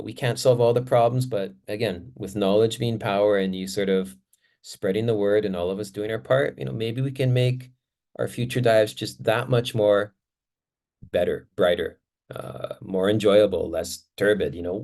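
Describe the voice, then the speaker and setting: A man speaking in a relaxed, rambling way, padding his thoughts with fillers. His voice sits a little low. He sounds like he is talking off the cuff to close out a video. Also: moderate pace. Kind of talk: casual closing remarks.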